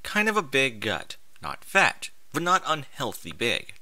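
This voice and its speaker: Guy reading a story and ranting about it, sarcastic n sweary, super animated, close dry recording. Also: mid-range voice, unhurried, dry recording. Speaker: host reading and mocking amateur fan fiction aloud.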